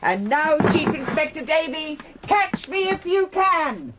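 A middle-aged actress playing a bold, haughty aristocrat in a British radio drama, with an upper-class English accent and a theatrical delivery. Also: female voice, high voice, very animated, slow pace, very noisy, full character voice. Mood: defiant, exultant. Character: bold, haughty aristocratic mother, secretly a crime boss. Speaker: actor in a radio mystery drama.

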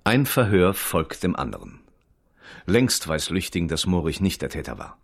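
A man narrating a radio crime play as a detached storyteller, terse and dry, with a husky voice. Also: deep voice, very animated, normal speed, heavily acted. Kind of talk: scripted radio drama narration.

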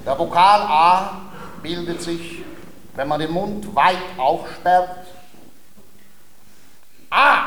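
An actor in a comic radio play, playing a patient, self-important language tutor who speaks in a precise, didactic and formal way. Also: male voice, on the high side, drawn-out words, pauses often, fairly bright tone, reverberant, slight background noise, rough recording. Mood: patient instruction. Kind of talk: theatrical classic-comedy radio acting.

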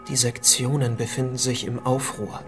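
Man acting earnest disillusioned politician, medium pitch, measured and polite, faint music. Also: little pitch movement, lightly acted. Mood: worried, ashamed. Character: disillusioned, earnest politician, gently courteous lover. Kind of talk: radio play dialogue.

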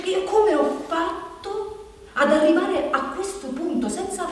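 An actress playing an anxious, bitter woman nearing forty in a halting, repetitive, confessional stage monologue. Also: high-pitched, fairly bright tone, heavily acted. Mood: anguished, panicked. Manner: halting, repetitive, insistent, with rhetorical questions. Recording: echoey hall from far off, background noise.

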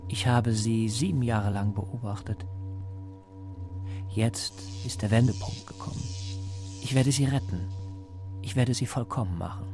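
An actor playing a calm, menacing interrogator in a radio drama, paternal, measured and fanatically assured. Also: male voice, medium pitch, vocal fry. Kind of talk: German radio drama acting.

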